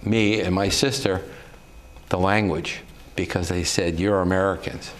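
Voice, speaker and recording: A man's voice: sits low, steady tone, measured pace. An older man on a political talk show, speaking in an opinionated, conversational way. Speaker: show co-producer and guest.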